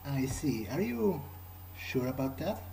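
A man improvising in character during a game playthrough, speaking in a measured, deliberate, hesitant manner. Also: mid-range voice, big pitch swings, vocal fry, warm tone, a little hiss or hum, rough recording. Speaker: gamer role-playing his character.

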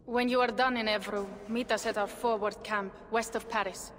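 An actress plays a young Norse warrior woman in a video game. Her rather high voice is earnest, firm and bitter. It carries long reverb with faint ambience. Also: steady tone, moderate pace, a bit bright, a little hiss or hum, natural voice. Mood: firm, regretful. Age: young adult.

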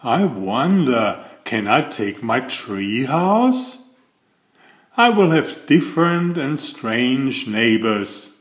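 Older male storyteller, animated pitch, expressive read-aloud delivery, slightly echoey room. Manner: slow, deliberate, expressive phrasing. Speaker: children's book reader and host.

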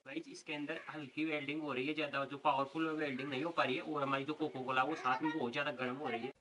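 Fast-talking young man hosting an experiment vlog. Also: a little high, flat delivery, fairly bright tone. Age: 20s. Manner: talks to viewers as "guys", explains the setup step by step. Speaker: experiment video host. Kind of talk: casual experiment vlog narration. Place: outdoors on a sunny rooftop terrace.

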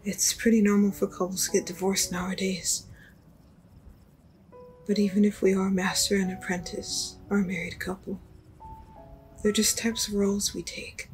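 A woman voicing a gentle young apprentice mage in an anime fandub, soft, reflective and a little hesitant. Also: mid-range voice, flat delivery, normal speed, long pauses, natural voice. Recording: music under the voice.

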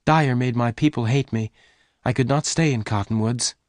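A man voicing an earnest, warm-hearted, excitable woman in a western storybook, with emphatic delivery. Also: moderate pace, dry recording, clean studio sound, lightly acted. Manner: emphatic, exclaiming, raised pitch. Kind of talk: dramatised audiobook dialogue.

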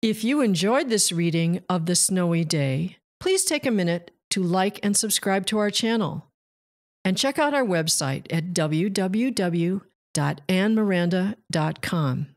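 A woman reading a picture book aloud to young children, deliberately, stretching key words with storyteller emphasis. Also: on the low side, lively intonation. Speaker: children's book reader and channel host. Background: plucked guitar music.

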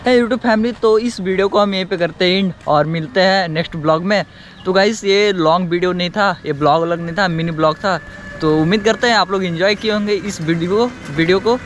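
A young man filming himself on a phone held at arm's length in dry woodland beside a road. He keeps talking to his viewers in a loose, chatty way. His voice sits a little high, and the outdoor ambience comes through clearly behind him. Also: fairly quick, background noise. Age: young adult. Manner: chatty, repeats "guys" often, addresses viewers. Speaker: vlogger. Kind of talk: casual walking vlog. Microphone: phone camera at arm's length, selfie framing.

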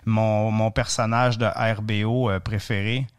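A man in his thirties from Eastern Ontario with a Franco-Ontarian French accent, a podcast guest telling stories in a chatty, joking way, often pausing with fillers. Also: on the low side, little pitch movement, clean tone. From Eastern Ontario, Canada. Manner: chatty, joking storyteller with many hesitations. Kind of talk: casual podcast interview.

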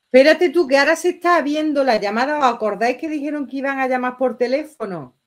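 A middle-aged woman with a Spanish accent from Spain reacts out loud in a casual, chatty style. Her voice sits fairly high for a woman and her delivery is expressive and full of exclamations. She is recorded in a small room at home. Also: fast. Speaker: reaction video host. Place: small bedroom indoors.

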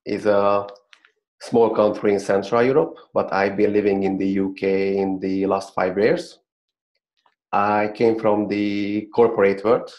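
Hungarian-accented man in his thirties, low monotone voice, earnest and halting, dull webcam call sound. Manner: earnest, halting with many "uh" fillers. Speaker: guest. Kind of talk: interview over video call. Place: small plain-walled room. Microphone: video call webcam. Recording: dull lo-fi video call audio.

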